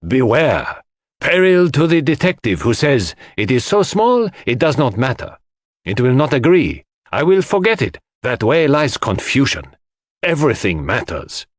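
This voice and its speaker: Guy doing a fussy detective, middle-aged, emphatic and theatrical, pitch jumps up high, very dry recording. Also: sing-song, dry recording. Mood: fierce warning. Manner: emphatic, exclamatory, dramatic pauses. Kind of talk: audiobook character acting.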